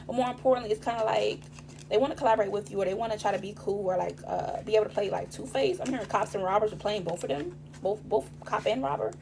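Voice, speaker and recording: A woman giving a casual tarot reading, rambling and profane with streetwise slang, her voice raspy this morning. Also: mid-range voice, quick pace, noisy background. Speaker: tarot reader.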